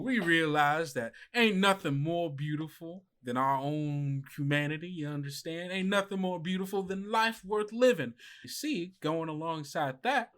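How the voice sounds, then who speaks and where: Man putting on a folksy, high-pitched dog carnival huckster. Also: fairly bright tone. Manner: folksy salesman patter with drawling grammar. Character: tiny-armed elongating dog carnival vendor, folksy huckster.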